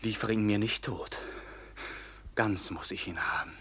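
An actor playing a passionate, vengeful robber captain in declamatory classic radio drama style, husky and sharp. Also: male voice, fairly low pitch, measured pace, exaggerated voice. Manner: declamatory, swinging from hushed horror to fierce shouts.

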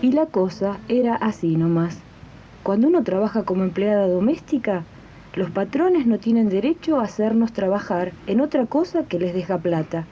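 A woman's voice: mid-range voice, expressive, moderate pace, warm tone, lo-fi sound, soft background music, natural voice. A woman acting an indignant, determined housemaid in a radio drama, with an Argentine accent and a colloquial, questioning way of talking, on a late-1980s recording. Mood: confident. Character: indignant, determined housemaid who makes jam on the side. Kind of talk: radio drama dialogue and narration.

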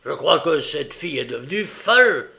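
A man voicing a brutal, fierce robber in an audiobook story, with a gruff and threatening manner. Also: a little high, expressive, unhurried, a bit bright, full character voice. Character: brutal, fierce male robber with a savage temper.

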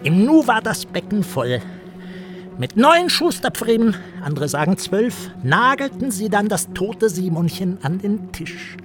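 A gossipy, insinuating radio-play storyteller. His fairly high voice swings widely as he draws out lurid phrases theatrically, with music clearly underneath. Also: sing-song, a little slow. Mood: grim storytelling. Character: gossiping male storyteller recounting lurid hate legend with relish.

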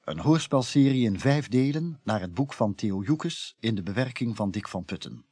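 A man making a slow, deliberate scripted announcement for a radio drama. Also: medium pitch, expressive, mellow, slightly rough, no room sound. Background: music.

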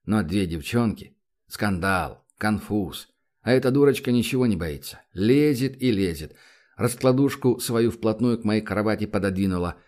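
Mellow-voiced man narrating an audiobook. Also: fairly low pitch, moderate pace. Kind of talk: first-person audiobook narration.

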